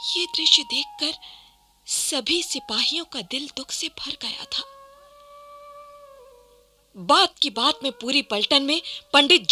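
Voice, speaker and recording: A woman's voice: a little high, bright, forceful tone. A woman giving dramatic scripted narration for a radio play, theatrical and emphatic.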